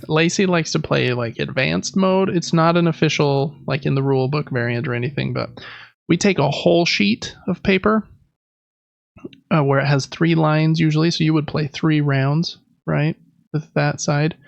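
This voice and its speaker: A man in his thirties chats as a podcast co-host in an easy, explanatory way. His voice sits a little high with a creaky edge. The sound is dry and somewhat muffled. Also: moderate pace, dry recording. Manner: conversational, explanatory. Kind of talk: casual chat about board games.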